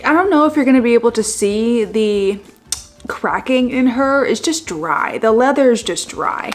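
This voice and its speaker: A young woman with a fairly high voice chats to camera in a loose, rambling way, full of little fillers. Her nose sounds stuffed up from allergies. She talks like a casual fashion vlogger. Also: animated, moderate pace, faint music behind. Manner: chatty, rambling, frequent fillers.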